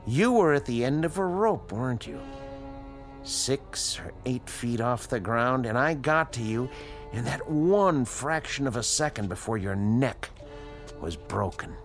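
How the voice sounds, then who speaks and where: An earnest, learned American doctor in a radio drama. Delivery is unhurried and articulate, with a very lively pitch that leans into each question. Music runs underneath. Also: male voice. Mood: grave, probing. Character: earnest scientist, educated, patient, morally probing male doctor.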